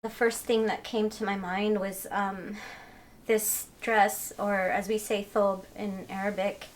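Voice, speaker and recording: Woman, even and level delivery, measured with pauses, faint noise. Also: medium pitch, low-quality recording. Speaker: interviewee. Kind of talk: reflective personal testimony.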